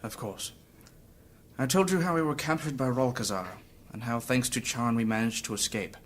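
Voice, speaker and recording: Man playing wounded fantasy hero, earnest, courteous, formal storytelling, medium pitch, radio play, light background noise. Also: moderate pace, close to an everyday voice. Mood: reflective. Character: wounded, courteous, earnest male adventurer recounting his tale. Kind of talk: scripted fantasy audio drama acting.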